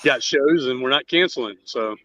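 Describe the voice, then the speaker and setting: A man's voice: mid-range voice, normal speed, bright, forceful tone, no room sound. A man chatting casually in short, blunt, opinionated remarks, with a muffled lo-fi sound. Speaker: band member joining the talk. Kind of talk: casual chat.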